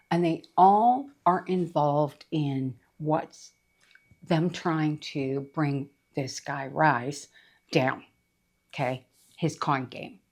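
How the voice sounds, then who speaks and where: A middle-aged woman chatting casually about books she read, her voice rough after being sick, rambling with lots of fillers. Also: deep voice, a little mellow. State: voice rough from recent illness. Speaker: book reviewer. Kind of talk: casual book review chat.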